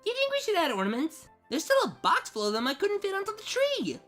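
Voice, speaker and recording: A woman playing a teasing young housemate in a dramatized cartoon fan story, with a playful, casual, expressive delivery. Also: very high voice, fast, full character voice. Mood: gleeful. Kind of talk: dramatized fan fiction audio reading. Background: background music.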